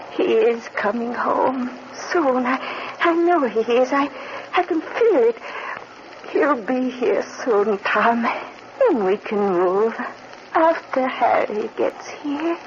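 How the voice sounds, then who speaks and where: A woman's voice: a little high, animated, slow. An actress playing an elderly, stubborn mother who waits for her son in a 1940s radio drama. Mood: stubborn, hopeful. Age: older. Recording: noisy, dull 1940s radio broadcast.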